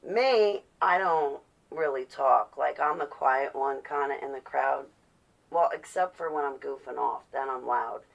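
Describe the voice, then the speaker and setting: A woman's voice: a bass voice, measured pace, vocal fry, dull audio. A woman giving a casual, rambling vlog monologue, opinionated and ranting, prone to swearing and to acting out imagined quotes. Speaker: vlogger.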